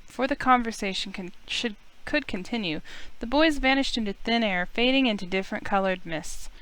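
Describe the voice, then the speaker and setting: A woman's voice: fairly high pitch, fairly quick, a bit bright, smooth, poor audio. A woman reading scripted first-person narration aloud from a visual novel.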